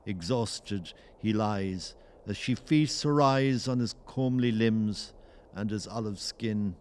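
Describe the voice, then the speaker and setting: A man's voice: fairly low pitch, takes it slowly, darkish tone, smooth. A man playing a solemn storyteller, giving dramatic, lyrical narration in an audio play. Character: solemn storyteller narrating an old Irish tale.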